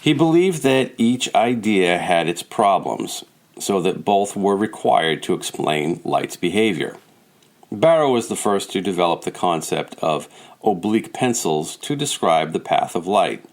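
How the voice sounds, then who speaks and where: A man with a low voice reads a nonfiction text aloud. He delivers it as scripted audiobook narration, pitched well below most men. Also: lively intonation, a little slow. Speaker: audiobook reader.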